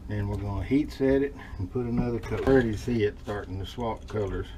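A middle-aged man giving a relaxed, folksy running commentary as he demonstrates a hands-on craft at his workshop bench. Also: fairly low pitch, fast talker. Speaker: lure painter demonstrating. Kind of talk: casual how-to demonstration. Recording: noisy workshop with airbrush spray.

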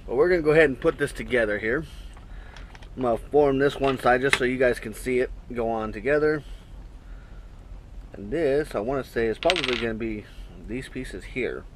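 A man's voice: mid-range voice, moderate pace, long pauses, background noise. A man in his thirties, a trucker, casually unboxing and reviewing gear in his truck cab, rambling and thinking aloud with lots of fillers, recorded on an action camera. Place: small truck sleeper cab, indoors. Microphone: action camera on the cab wall, built-in mic.

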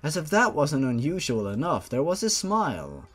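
A man's voice: on the high side, huge pitch swings, normal speed, fairly bright tone. A man hosting a dramatic read-aloud of a horror story as its narrator. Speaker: host reading a fan horror story aloud.

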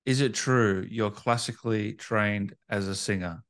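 A man's voice: on the low side, a little slow, a bit bright. A man hosting an interview in a casual, informal chat, reacting readily to the guest. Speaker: interview host.

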